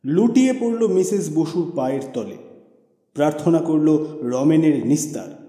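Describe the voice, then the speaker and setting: A man narrating a literary story as an audiobook, with expressive, theatrical delivery. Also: on the high side, unhurried. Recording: echoey reverberant voice over music.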